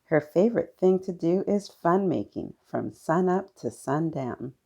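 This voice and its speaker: A woman's voice: lowish voice, expressive, measured pace, vocal fry, mellow. A woman narrating a children's picture book expressively as a storytime reader.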